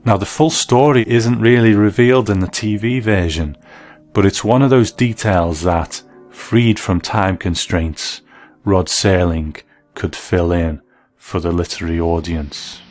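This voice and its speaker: A man's voice: sits low, fairly even intonation, unhurried, a little mellow, faint music behind. A man hosting a documentary, explaining things in a mix of conversation and narration. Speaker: host presenting a documentary. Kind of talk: interview chat and explanatory narration.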